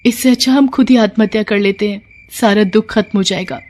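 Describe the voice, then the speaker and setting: A woman voicing earnest, wronged young sisters in an animated moral story, speaking with open emotion. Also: mid-range voice, a little fast, pitched above the performer's own voice, natural voice. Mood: plaintive.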